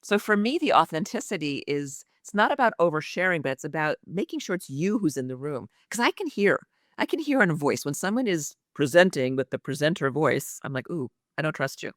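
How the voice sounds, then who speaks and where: A woman's voice: low-pitched, big pitch swings, fairly quick, dry recording, clean studio sound. A woman who is an executive communication coach speaks expressively and emphatically in a podcast interview, with the practiced delivery of a trained actress.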